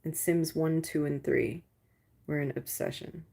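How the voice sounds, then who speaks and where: A young adult woman with a low voice, chatting casually with viewers on a livestream, rambling and swearing with lots of "like". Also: little pitch movement, unhurried, creaky, dark tone. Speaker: livestream host.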